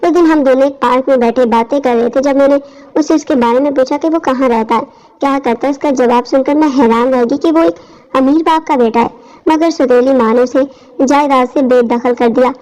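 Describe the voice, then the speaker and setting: A woman's voice: sits high, steady tone, brisk, a little mellow. A woman reading a scripted first-person story as its narrator. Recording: dull lo-fi audio.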